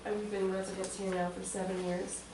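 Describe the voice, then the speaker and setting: A woman's voice: mid-range voice, flat delivery, a little fast, noisy background. Earnest woman speaking from afar in a hall. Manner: earnest, hesitant with frequent ums. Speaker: resident speaking at public comment. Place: council chambers. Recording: echoey chamber, distant mic.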